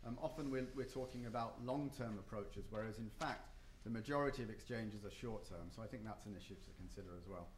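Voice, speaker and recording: Man, conference panelist, halting delivery full of ums, roomy hall sound, faint background ambience. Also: lowish voice, a little hiss or hum. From not Japan or the US. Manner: hesitant, many ums and restarts. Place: hotel conference room.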